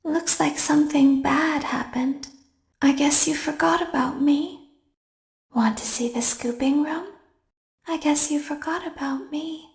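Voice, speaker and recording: A woman voicing a cold, sadistic animatronic in a video game, giving breathy, drawn-out threats with an echo. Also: high-pitched. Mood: coldly menacing. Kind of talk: video game character voice lines.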